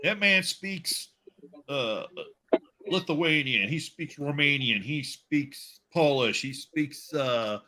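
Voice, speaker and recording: Male livestream host, very sharp bright tone, rambling jokey chat, halting delivery. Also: on the high side, expressive, soft background music. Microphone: video call stream.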